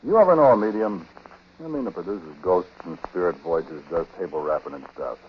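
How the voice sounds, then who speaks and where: An American actor playing a chatty, world-weary husband who confides in the listener in slangy, folksy talk, in 1940s radio drama style. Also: male voice, low-pitched, expressive, brisk. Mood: wry, confiding. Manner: colloquial, folksy, slangy, intimate direct address. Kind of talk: 1940s radio drama acting, confiding first-person narration. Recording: dull, hissy 1940s radio recording.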